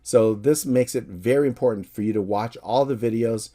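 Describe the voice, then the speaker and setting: A middle-aged American man, a professor from San Francisco, giving a calm, friendly, measured course introduction. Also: mid-range voice. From San Francisco, USA.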